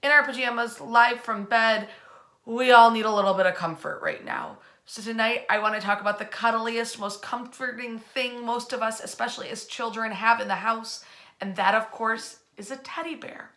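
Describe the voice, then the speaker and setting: A woman hosting an informal history storytime from her bed at home, chatty and animated, using colloquial phrasing as she speaks to an online audience. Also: fairly high pitch, normal speed. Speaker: host of a nightly history storytime.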